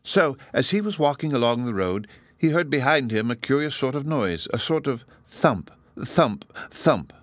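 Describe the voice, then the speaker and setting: A man voicing a young girl who tells a storybook tale in a patient, gentle, slightly sly manner. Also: medium pitch, big pitch swings, moderate pace, dry recording, pitched above the performer's own voice. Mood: storytelling. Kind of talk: storybook character voice in audiobook reading.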